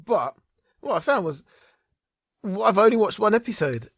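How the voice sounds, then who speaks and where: A man's voice: high voice, animated, normal speed, fairly bright tone, slightly rough, no room sound, clean studio sound. A man co-hosting a podcast, talking with enthusiasm and tripping over his words. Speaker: podcast co-host. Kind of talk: casual chat.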